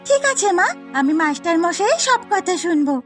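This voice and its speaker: Woman voicing an earnest, very high-pitched little schoolgirl. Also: big pitch swings, moderate pace, fairly bright tone, soft background music, heavily acted. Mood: cheerful, reassuring. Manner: earnest, childlike. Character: sweet earnest young schoolgirl daughter, eager and obedient. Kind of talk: Bengali fairy-tale cartoon dubbing.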